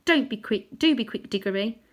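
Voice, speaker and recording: A woman reading aloud, voicing a bossy, spiteful young girl with a shrill, insistent way of talking. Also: expressive, moderate pace, natural voice. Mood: scolding.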